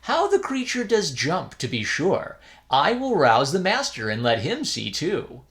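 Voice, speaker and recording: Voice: mid-range voice, sing-song, measured pace, fairly bright tone. A reader voicing a bold, cheerful young male novice monk calling out eagerly.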